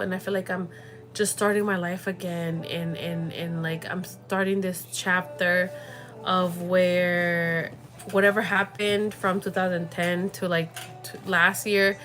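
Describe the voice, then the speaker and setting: A woman's voice: on the low side, fairly bright tone. A young woman hosting a casual livestream, talking in a rambling way with lots of fillers and the odd Spanish word. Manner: rambling, full of fillers, slips into Spanish words. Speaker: livestream host.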